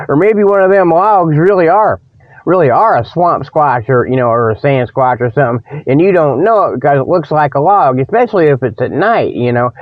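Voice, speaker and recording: A man with a somewhat high voice hosts a comedy radio show in a folksy, rambling way. He leans on repeated little tags as he delivers tongue-in-cheek sales talk. The recording is dry and slightly muffled. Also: no room sound. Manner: folksy, repeats "you know" and "okay" as tags; chuckles at own jokes.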